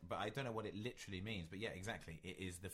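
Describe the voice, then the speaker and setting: A man with a notably deep, low voice co-hosting a relaxed podcast. He talks in a meandering, hesitant way, restarting his sentences often. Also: very fast. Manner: rambling, hesitant, with many restarts. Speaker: podcast co-host. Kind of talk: casual chat.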